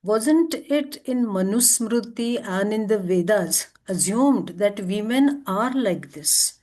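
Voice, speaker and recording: A woman's voice: medium pitch, expressive, slow, a little mellow. A woman with an Indian accent giving her view as a participant in an informal discussion. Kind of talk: informal discussion, offering a critical opinion.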